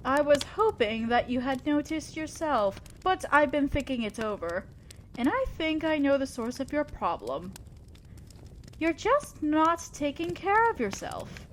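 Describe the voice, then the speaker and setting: A woman's voice: high voice, sing-song, a little hiss or hum. A woman playing an imperious, old-fashioned female demon lord in an audio roleplay, speaking formally and with condescending concern to the listener. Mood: concerned, probing. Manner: commanding, formal, measured, condescending yet concerned. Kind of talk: scripted roleplay monologue addressed to the listener.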